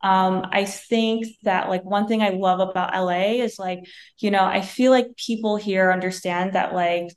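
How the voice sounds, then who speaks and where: A woman's voice: medium pitch, normal speed. A woman in her thirties whose first language is Vietnamese talks easily as an interview guest. Her speech is loose and conversational, full of "like" and "you know." She is heard over a video call. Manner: relaxed, leans on "like" and "you know". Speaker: guest, chef and wine entrepreneur. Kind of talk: casual interview chat. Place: bright room at home.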